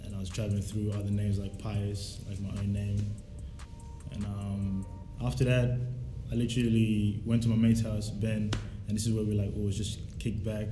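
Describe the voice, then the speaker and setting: A man's voice: on the low side, flat delivery, moderate pace. A young man from South Sudan, chatting casually and reflectively in laid-back slang as a musician being interviewed. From South Sudan, raised in Australia. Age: young adult. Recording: roomy echo, faint music behind.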